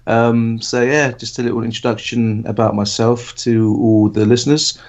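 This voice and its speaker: A man's voice: mid-range voice, flat delivery, normal speed, a little mellow. A man in his late thirties from England, co-hosting a casual football podcast, talking in a rambling, hesitant way full of ums. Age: 30s. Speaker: podcast co-host. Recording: dull lo-fi audio.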